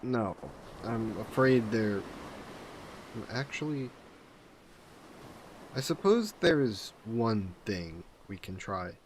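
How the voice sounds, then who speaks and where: Low-voiced menacing, flirty sea monster played by a man. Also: lively intonation, slight background noise. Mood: flirty menace. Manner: measured, formal, coolly threatening wording. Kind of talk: scripted roleplay audio drama, intimate monologue.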